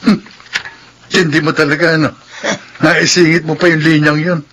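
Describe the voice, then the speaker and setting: A man's voice: a little high, level tone, normal speed, fairly bright tone, a little hiss or hum, natural voice. A man acting a stubborn, lovelorn young suitor, insistent and grumbling, in a radio drama with faint ambience behind.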